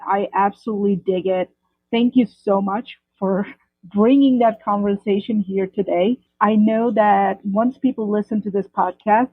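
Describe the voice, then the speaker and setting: A woman's voice: medium pitch, no echo. Effusive woman host on a tinny line. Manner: effusive, praising the guest warmly. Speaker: podcast host. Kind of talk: casual interview talk. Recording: tinny phone-like dry line.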